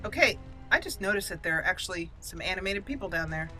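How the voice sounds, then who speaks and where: A woman with a very bright, crisp-edged voice narrates a video game casually. She thinks aloud in a playful way and slips into character, with faint music behind her. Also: lowish voice, expressive, fast, poor audio. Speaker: gaming channel host, role-player. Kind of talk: casual let's play commentary with in-character lines.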